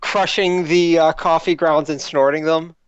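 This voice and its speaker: A man's voice: a little high, steady tone, unhurried, smooth, no echo, dull audio. A man chatting casually as a player in a tabletop game.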